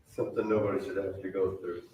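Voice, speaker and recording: Low-voiced man, very echoey and far off. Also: level tone, fast, a little mellow. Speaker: bereaved husband addressing a court. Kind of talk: victim impact statement. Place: indoor courtroom. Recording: echoey, distant, muffled, noisy courtroom audio.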